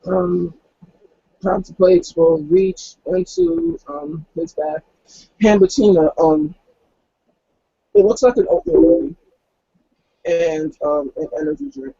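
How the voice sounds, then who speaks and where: Husky, high-pitched man, very mellow. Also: sing-song, slow, pauses often, dull audio. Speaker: tabletop game player. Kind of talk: casual in-game action narration.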